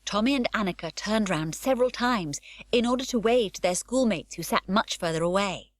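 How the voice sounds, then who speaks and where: A woman narrating an unabridged children's audiobook, with expressive, varied storytelling. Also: mid-range voice, normal speed, no room sound.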